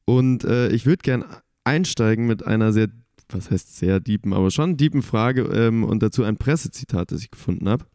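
Young male co-host; low mellow voice, halting with fillers, dry close mic. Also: dry recording. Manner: hesitant, many filler sounds. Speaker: podcast co-host. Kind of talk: casual podcast interview.

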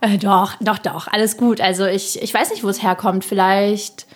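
A woman from Russia, a comedian guest on a podcast, talking quickly and a lot, often laughing. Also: medium pitch, steady tone. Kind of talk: casual interview chat.

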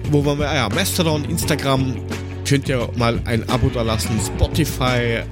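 A man's voice: mid-range voice, steady tone, normal speed, music in the background. A man co-hosting a casual podcast, talking in a colloquial, rambling, slightly grumbling way. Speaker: podcast co-host.

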